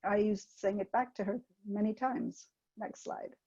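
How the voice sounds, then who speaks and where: An older woman giving a webinar talk, gentle and hesitant, with many ums, sharing anecdotes over a video call. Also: medium pitch, fairly even intonation, moderate pace, slightly rough, no echo, highs cut off. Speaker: webinar presenter. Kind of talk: informal teaching talk with anecdotes.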